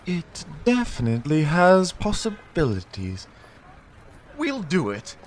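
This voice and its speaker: A man acts out a fussy, self-important male store manager with a salesman's manner. His voice sits a bit high and swings in a lively way. It is audio drama acting with faint street ambience behind it. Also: slow, slight background noise, heavily acted. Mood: tempted.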